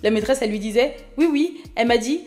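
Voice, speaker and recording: A woman's voice: a little high, fast talker, darkish tone. Vlogger woman in her 30s from Haiti; casual, joking intro to camera over light music. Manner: chatty, self-mocking, addresses viewer directly. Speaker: YouTube vlogger. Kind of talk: casual self-introduction to viewers.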